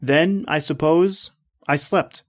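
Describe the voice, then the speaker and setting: A man's voice: on the high side, takes it slowly. A man playing a principled, wary hunter in an old-time radio horror drama, speaking with grave, dramatic earnestness. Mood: tense, brooding. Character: principled male hunter, wary and appalled guest. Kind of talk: old-time radio drama acting with first-person narration.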